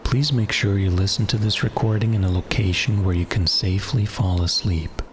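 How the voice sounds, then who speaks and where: A man reading a scripted fairy tale as an audiobook narrator, in a measured, hushed bedtime manner. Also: fairly low pitch, fairly even intonation, slight background noise. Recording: music behind the voice. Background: music.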